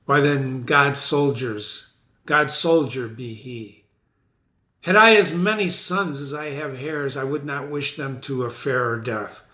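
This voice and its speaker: Man acting a stern soldier father, proud, grave, very slow, echoey room. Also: medium pitch, bright, forceful tone. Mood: stoic grief. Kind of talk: dramatic reading of a Shakespeare play.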